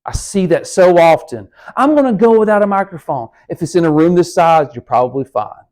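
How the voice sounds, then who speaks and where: A man's voice: a little high, expressive, a little fast, a little mellow. A man lecturing his class as a speech instructor, talking in an encouraging, conversational way straight to the students. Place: room with a lectern.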